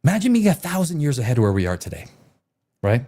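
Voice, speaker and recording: A man's voice: fairly high pitch, big pitch swings, fast talker, dark tone. A man hosting a livestream alone, talking off the cuff in a voice that rises and falls a lot. He jumps between tangents, restarts his sentences and swears freely. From Czech Republic. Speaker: livestream host. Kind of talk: rambling solo livestream talk.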